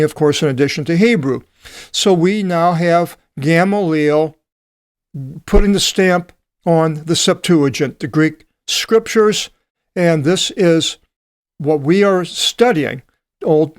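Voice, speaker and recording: A man teaching a Bible study, reading passages aloud and commenting on them in a deliberate way with frequent pauses. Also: on the high side, clear, resonant tone, no room sound, studio quality.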